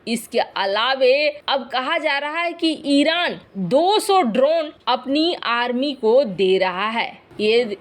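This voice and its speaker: A woman's voice: sits high, expressive, slow pace, few pauses. A woman news presenter narrating scripted reports in a conversational, explanatory way, addressing listeners directly.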